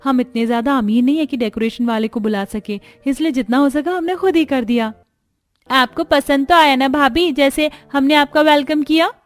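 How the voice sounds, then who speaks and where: A woman acts out a bubbly young sister-in-law from a family cartoon. She is doting and eager, pitched very high, and exclaims her affection over background music. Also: normal speed, no room sound, natural voice. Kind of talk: cartoon family story acting.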